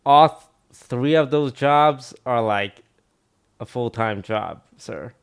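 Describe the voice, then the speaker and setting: A man's voice: mid-range voice, slow pace, creaky, clean tone. A man streaming, reacting to a video with dry, blunt, teasing remarks. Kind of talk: casual live-stream commentary.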